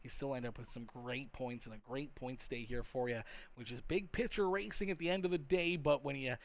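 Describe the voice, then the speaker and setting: A man's voice: mid-range voice, a little fast. A man hosting a live racing broadcast, talking in a chummy, wordy announcer style as he commentates and interviews drivers. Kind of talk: live motorsport commentary and post-race interview.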